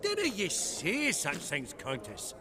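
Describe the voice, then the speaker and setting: An actor playing a shrewd, chummy, foul-mouthed trader with a Scottish accent in a video game. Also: male voice, high voice, huge pitch swings, slow, crowd noise, exaggerated voice. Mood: wheedling.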